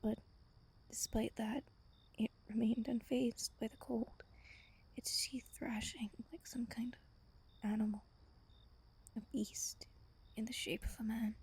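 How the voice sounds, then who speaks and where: A woman playing a gentle, wistful female vampire, telling a bedtime story tenderly and intimately in a breathy, whispery, soft-spoken roleplay style. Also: on the high side, flat delivery, slow, pauses often, exaggerated voice. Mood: regretful, hushed. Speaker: voice actor in roleplay audio.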